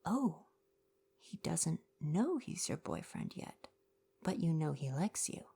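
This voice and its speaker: A low, creaky, soft-spoken young merfolk shopkeeper, acted by a woman in an ASMR roleplay. The manner is kind and courteous, chatty with customers, with a gently sardonic streak. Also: very animated, slow, heavily acted. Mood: friendly, curious. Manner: polite, chatty, helpful customer-service phrasing.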